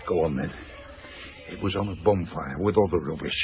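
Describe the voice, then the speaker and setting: An actor playing a blunt, earthy shopkeeper in a radio drama, gruff and curt in a South Yorkshire accent. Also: male voice, low-pitched, a little fast, natural voice. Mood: irritable, blunt. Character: blunt, earthy, bossy chip-shop husband. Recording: slightly dull radio broadcast sound.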